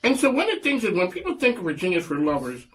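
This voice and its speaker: A man hosting a radio talk show, chatty and animated, often laughing as he interviews a guest. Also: high voice, fast. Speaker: host.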